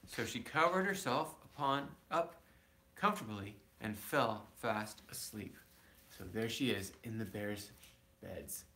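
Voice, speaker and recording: A man reading a children's story aloud as a father would, casually and haltingly, correcting himself as he goes. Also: on the low side, sharp tone.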